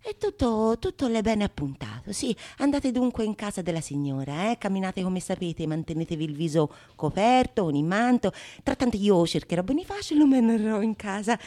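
A woman's voice: mid-range voice, lively intonation, a little fast, hardly any pauses, mellow, lightly acted. A woman playing a sly, bawdy schemer in a Renaissance comedy monologue, teasing and conspiratorial in old-fashioned theatrical language. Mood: brisk, instructing. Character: sly bawdy scheming go-between, plotting pranks on a foolish lover. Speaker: stage actress performing all parts.

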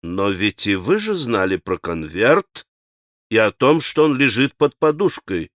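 A man voicing a stern, methodical prosecutor in an audiobook, questioning a suspect in a formal, measured, insistent manner. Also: lowish voice, big pitch swings, dry recording, clean studio sound, pitched below the performer's own voice. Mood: pressing, cool. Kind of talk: dramatic audiobook character acting.

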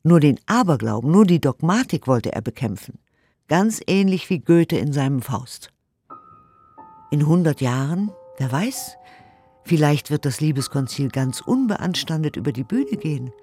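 A woman's voice: low voice, big pitch swings, normal speed, warm tone, husky, soft background music, exaggerated voice. An actor in a radio play voicing an earnest, indignant woman who reads 1890s letters and commentary emphatically and articulately. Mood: indignant conviction. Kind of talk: dramatic radio play recitation of historical documents.

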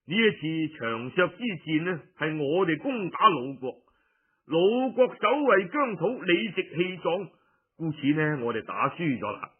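A man's voice: a little high, very animated, unhurried, husky, heavily acted. A man with a Cantonese accent voicing a methodical minister persuading his ruler in an audiobook. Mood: earnest, persuasive. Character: reasoning male minister urging his ruler toward an alliance. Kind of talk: audiobook storytelling. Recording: muffled phone-like radio recording.

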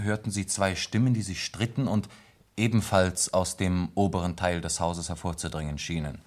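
This is an actor playing a dutiful male police lieutenant in a radio play. He has a deep voice. He reads official notes formally and with care, hesitating between items. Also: lightly acted. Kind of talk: German radio play acting.

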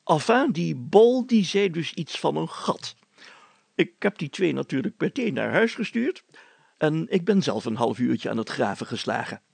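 Storybook mayor performed by a male reader: pompous, excitable adventurer, heavy creak, husky, dry close sound. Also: on the high side, moderate pace, dry recording, full character voice. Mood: eager, confiding. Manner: pompous, exclamatory, chatty. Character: excitable, self-important, adventurous village mayor, a man.

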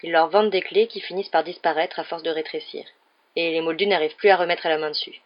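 A woman's voice: deep voice, fairly even intonation, quick pace, highs cut off, close to an everyday voice. A woman voicing a weary middle-aged wizard father in a storybook, enthusiastic but sheepish, rambling and stammering when cornered. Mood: weary, explaining.

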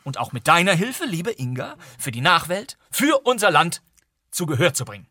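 A man's voice: on the high side, very animated, moderate pace, sharp tone, no room sound. A man presenting a scripted explanation in a theatrical, emphatic, mock-solemn manner. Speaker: presenter introducing a radio play.

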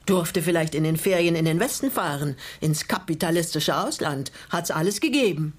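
An actress playing a shrewd, composed older woman who speaks in a measured, probing way in a radio crime comedy. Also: sits low, expressive, husky. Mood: pointed, probing. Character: shrewd, composed old woman, once a political prisoner.

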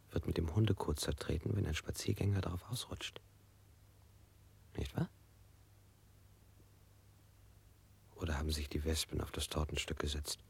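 A man's voice: extremely low pitch, vocal fry, slightly rough, exaggerated voice. An actor playing an evasive man under interrogation, rambling in strange images and sometimes whispering. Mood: grotesque. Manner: dreamy, halting associations, sometimes whispered. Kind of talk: modernist radio play acting.

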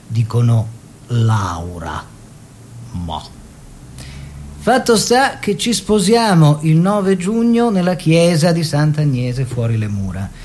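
An actor playing a proud, bitter, wry artist husband in a radio drama, with emphatic lists and repetitions that drop into hushed asides. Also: male voice. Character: opinionated Italian architect-painter husband, proud, bitter, wry. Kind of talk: literary stage-style radio drama monologue and dialogue. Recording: faint background noise with low rumble.

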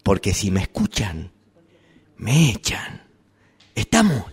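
A dry, matter-of-fact shopkeeper, played by a man. He lets his pitch rise and fall freely but takes his time. It is delivered as a calm, patient sales pitch. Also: takes it slowly, breathy edge, heavily acted. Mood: hushed. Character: weary male shopkeeper selling worlds. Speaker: workshop radio drama actor. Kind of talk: amateur radio drama rehearsal.

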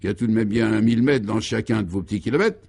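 A man's voice: lowish voice, fast, creaky, darkish tone, slightly rough. A man acting out a sceptical, exasperated traveller who protests in a comic radio serial. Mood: incredulous. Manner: incredulous, protesting. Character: sceptical, exasperated male traveller objecting to nonsense.

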